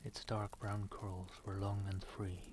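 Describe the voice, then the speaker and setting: Male ASMR host, low-pitched, soft and whispery, very even intonation, casual streamer and storyteller, noisy mic. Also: unhurried. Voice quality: soft, often breaking into whisper. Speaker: live-stream host and reader.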